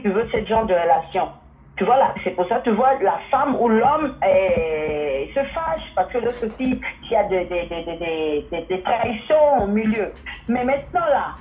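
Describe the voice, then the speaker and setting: A woman who grew up speaking Portuguese, calling into a talk show and speaking emphatically, repeating herself and exclaiming hallelujah. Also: on the high side. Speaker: caller. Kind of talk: opinionated phone-in talk. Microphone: phone line. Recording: tinny phone line, faint noise.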